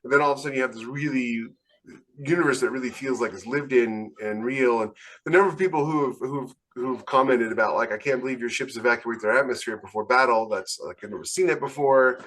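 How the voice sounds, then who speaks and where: Man chatting enthusiastically, mid-pitched voice. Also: fast talker, a bit bright, rough recording. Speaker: podcast co-host and science fiction writer. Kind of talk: casual podcast discussion.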